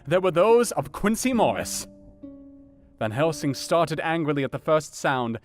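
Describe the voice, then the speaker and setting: An actor playing an earnest doctor, narrating a dramatised audiobook in a measured, formal and dramatic way. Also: male voice, on the high side. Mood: relieved, excited. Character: earnest male doctor recounting a grim night.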